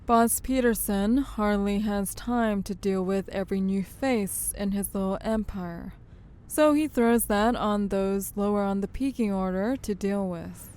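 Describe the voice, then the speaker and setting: A woman plays a worldly female syndicate enforcer in a crime audio drama. She takes her time, speaking in a mellow, relaxed voice with a wry, knowing edge. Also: medium pitch, natural voice. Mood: knowing, advising. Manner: unhurried, knowing, teasing.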